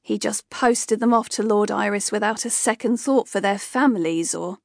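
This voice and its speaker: A woman's voice: mid-range voice, moderate pace, vocal fry, no room sound, studio quality, lightly acted. A woman voicing an indignant gargoyle who snarls in protest.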